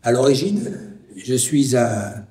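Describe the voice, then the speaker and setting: Male narrator from France, mid-range voice, reciting a personal, poetic documentary text with deliberate phrasing. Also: mid-range voice, expressive, warm tone. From France (Lyon area).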